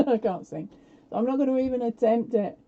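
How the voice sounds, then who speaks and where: A woman hosting a live prize quiz on her stream, chatty and self-deprecating with lots of fillers, her voice a little croaky. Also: a little high, expressive, normal speed. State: slightly croaky voice. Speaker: podcast host running a live prize quiz. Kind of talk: casual live-stream chat. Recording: dull lo-fi home stream audio.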